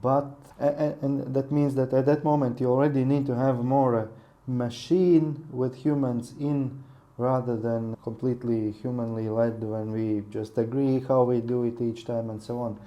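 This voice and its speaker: A man's voice: mid-range voice, level tone, moderate pace, mellow, muffled recording. A man hosting a business podcast, asking thoughtful, hesitant questions with frequent restarts. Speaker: podcast host. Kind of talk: interview questions.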